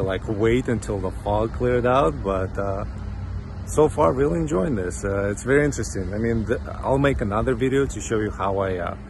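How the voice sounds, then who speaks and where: A man's voice: fairly low pitch, a little hiss or hum. A man with a Ukrainian accent, losing his voice, chatting casually in a vlog from outdoors in a parking lot, thinking aloud with lots of fillers. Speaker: drone hobbyist vlogger.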